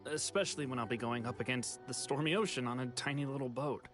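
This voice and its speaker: An actor playing a dejected, gentle man in hiding in an anime game, speaking with weary, hesitant resignation. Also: medium pitch, quick pace, over music, lightly acted. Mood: dejected, regretful. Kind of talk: dramatic anime game voice acting.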